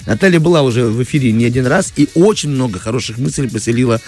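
A man's voice: medium pitch, quick pace, a little mellow. Informal male morning host, music behind. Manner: informal, rambling, joking. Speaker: morning radio show host. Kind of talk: casual chat. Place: radio studio.

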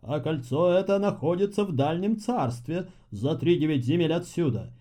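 A man's voice: a little high, expressive, normal speed, mellow, natural voice. A man playing a crafty, imperious fairy-tale tsar with a pompous, commanding manner. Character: imperious, crafty old-tale tsar giving threatening orders.

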